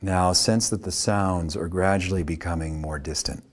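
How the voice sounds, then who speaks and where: A man with a husky voice leading a guided meditation as a yoga teacher, speaking calmly in short, unhurried phrases with long pauses. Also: deep voice.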